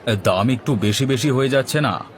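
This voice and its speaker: A man's voice: mid-range voice, brisk, some chatter behind, a little hiss or hum, natural voice. A man voicing an easygoing, henpecked village husband in a cartoon, placating with a wry grumble. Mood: haggling. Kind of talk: cartoon dialogue acting.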